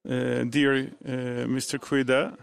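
Turkish-accented man, halting and creaky. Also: mid-range voice, level tone, no echo, studio quality. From Turkey. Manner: halting, repeats himself. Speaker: audience member. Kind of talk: addressing a speaker from the floor.

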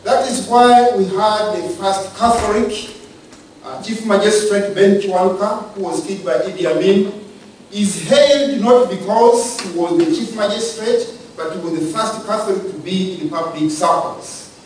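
A man from Uganda giving a measured, lecture-style talk on the church's role in society, pausing and restarting his phrases. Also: high voice, slight background noise. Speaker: speaker on church and state. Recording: echoey room from far off.

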